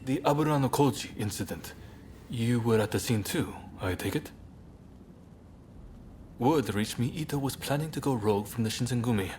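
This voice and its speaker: An actor playing a serious, shrewd and wary rebel leader in a video game, speaking gravely and deliberately. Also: male voice, mid-range voice, a little mellow, slight background noise, lightly acted. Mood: approving, shrewd. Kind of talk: dramatic video game cutscene acting.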